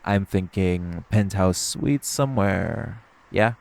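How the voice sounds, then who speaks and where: Man playing a deep, gravelly, cocky male vampire. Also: takes it slowly, darkish tone, heavily acted. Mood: teasing, cocky. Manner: smug, taunting, drawling, playfully menacing. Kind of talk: intimate roleplay audio drama.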